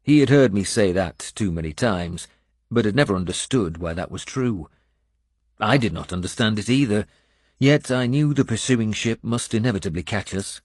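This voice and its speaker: A man reading a scripted first-person audiobook in a measured storytelling manner. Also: lowish voice, animated, darkish tone, no room sound, studio quality. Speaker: audiobook narrator.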